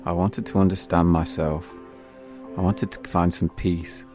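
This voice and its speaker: Very low-voiced British man narrating. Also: level tone, unhurried, darkish tone, soft background music. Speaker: narrator of an autobiographical audio drama. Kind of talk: scripted first-person storytelling.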